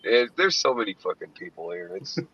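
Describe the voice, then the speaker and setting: A man's voice: fairly low pitch, very animated, brisk, crowd noise. A man chatting casually and rambling, with plenty of swearing, over an online call. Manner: profane, rambling. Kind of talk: casual chat. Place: indoors, online video call. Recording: slightly dull lo-fi audio.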